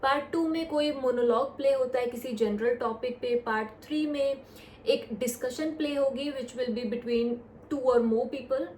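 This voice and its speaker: Young woman, Indian English accent, patient tutorial tone, slowing on key phrases, echoey room. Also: high-pitched, a little mellow. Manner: explains step by step, slows down on English phrases. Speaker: test-prep YouTuber. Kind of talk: casual tutorial, mixing Hindi and English. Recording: echoey room with faint noise.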